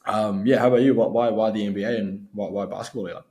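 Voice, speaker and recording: A young man with a New Zealand accent, co-hosting a casual chat in a halting, filler-heavy way. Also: fairly low pitch, steady tone, mellow. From born in Sri Lanka, grew up in New Zealand. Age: young adult. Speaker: podcast co-host.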